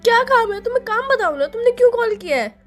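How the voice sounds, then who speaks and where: Very high-pitched tearful young girlfriend played by a woman. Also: animated, fast talker, faint music behind. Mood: angry, tearful. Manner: petulant, snappy, curt refusals. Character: upset, sulky, stubborn crying young girlfriend. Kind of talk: acted romantic phone-call skit in Hindi.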